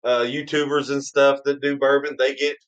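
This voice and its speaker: A man's voice: medium pitch, little pitch movement, normal speed, smooth, highs cut off. A man hosting a bourbon tasting live stream, chatting eagerly and rambling through his stories. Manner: enthusiastic, rambling storyteller. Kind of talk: casual live-stream banter.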